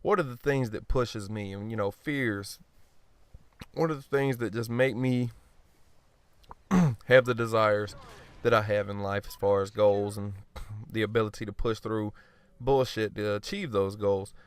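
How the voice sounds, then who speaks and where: A man giving casual gameplay commentary, blunt and profane, rambling and joking at himself, often clearing his throat. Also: lowish voice, measured pace, pauses often, dry recording. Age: adult. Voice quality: smooth voice. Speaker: gaming commentator.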